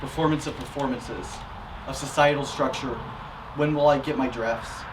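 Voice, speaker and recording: An actor playing a pompous, angsty amateur slam poet, reciting in a declamatory way. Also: male voice, steady tone, normal speed, natural voice. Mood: anguished reciting. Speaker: poet performing on stage. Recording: distant mic, clear ambient rumble.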